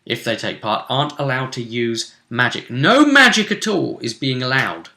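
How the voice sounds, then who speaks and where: A man's voice: mid-range voice, big pitch swings, normal speed, gravelly, sharp tone. A man casually explaining the rules of a tabletop role-play game as a host, chatting with a co-host. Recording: roomy, echoing room sound.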